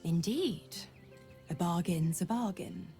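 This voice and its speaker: A woman playing a smug, menacing devil patron in a video game, mocking and theatrical in a deliberate delivery. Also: fairly low pitch, expressive, mellow, soft background music. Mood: smug, taunting. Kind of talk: dramatic video game acting.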